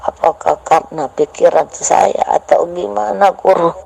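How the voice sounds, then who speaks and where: A woman's voice: lowish voice, moderate pace, gravelly, poor audio. A woman in a voice message, hesitantly telling a teacher about her personal experiences and asking for advice.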